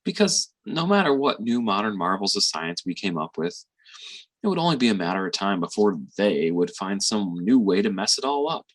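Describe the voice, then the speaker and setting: An actor playing a weary, tender middle-aged father and physician in a reflective, halting stage monologue. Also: lowish voice, very animated, rough recording. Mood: somber, reflective. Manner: reflective, halting with sighs and pauses.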